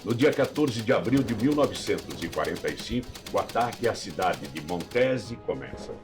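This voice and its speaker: A man's voice: lowish voice, sing-song, moderate pace. A man with a Brazilian accent narrating a scripted documentary in a solemn, dramatic, rhetorical manner. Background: background music.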